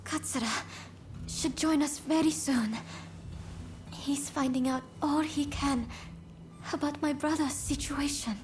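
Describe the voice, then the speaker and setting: Gentle anxious wife in a samurai video game, high voice, really unhurried. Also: female voice, slight background noise. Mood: relieved, concerned. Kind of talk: video game cutscene acting.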